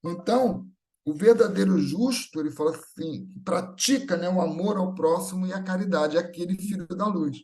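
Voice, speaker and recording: A man's voice: sits high, moderate pace, warm tone. A Brazilian man gives an informal religious talk, conversational, telling anecdotes with bits of acted-out dialogue and often checking for agreement. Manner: conversational, often seeking agreement with short tag questions; acts out everyday dialogue in anecdotes. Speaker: speaker at a Spiritist gathering.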